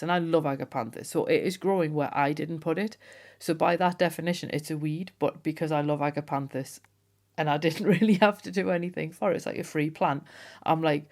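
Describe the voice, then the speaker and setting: A woman hosting a folklore podcast, talking in a chatty, enthusiastic way, her voice slightly hoarse from a cold. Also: sits low, a little mellow. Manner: chatty, enthusiastic, laughs often. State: has a cold. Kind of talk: casual solo podcast chat.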